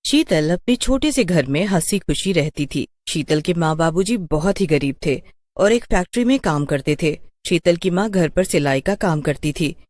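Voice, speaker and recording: A woman's voice: on the low side, expressive, normal speed, darkish tone, no room sound, clean studio sound. A woman narrating a scripted story as a storyteller.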